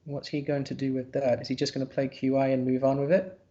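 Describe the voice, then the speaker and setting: A man's voice: mid-range voice, monotone, quick pace, warm tone, lo-fi sound. A man commentating a live board game, thinking aloud in a hesitant, musing way.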